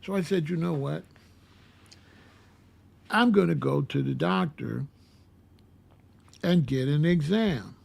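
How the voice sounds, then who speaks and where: A man in his sixties telling a personal story in a casual, rambling way, repeating himself and filling pauses with "uh". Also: fairly high pitch, gravelly, darkish tone. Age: 60s. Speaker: vlogger.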